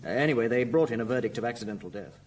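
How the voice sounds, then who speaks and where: An actor with a British accent playing a keen, deferential police subordinate in a radio drama, questioning and a little wry. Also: male voice, mid-range voice, racing, a little mellow, a little hiss or hum, lightly acted. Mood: matter-of-fact. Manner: eager, questioning, slightly wry. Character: deferential male police subordinate, keen, doubting, drily cheeky.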